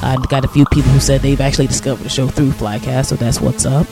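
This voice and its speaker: An American man hosting a radio show, talking casually with informal slang and shout-outs. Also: mid-range voice, flat delivery, fast, gravelly. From United States. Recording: loud music under the voice. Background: music.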